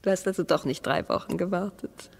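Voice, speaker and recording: Breathy, bitter wife in reverb. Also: female voice, on the low side, a little fast. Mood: bitter, confronting. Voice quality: breathy, often whispering. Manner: reproachful, tense, often hushed. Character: bitter, distrustful wife exploring an underground passage. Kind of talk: radio drama acting. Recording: long cave-like reverb.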